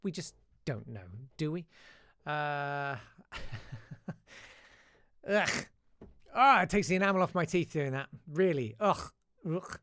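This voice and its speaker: A man's voice: on the high side, animated, slow, pauses often, a bit bright, no echo, studio quality. A middle-aged British man hosting, chatting to viewers in a rambling, self-mocking way. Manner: rambling, self-mocking, lots of fillers and sighs. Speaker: host. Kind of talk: casual self-deprecating chat to viewers.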